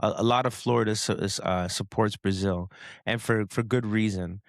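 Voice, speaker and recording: A man from Canada co-hosting a podcast, talking in animated casual chat and often repeating words as he builds a point. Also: fairly low pitch, normal speed, gravelly, dry recording, clean studio sound. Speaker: podcast co-host.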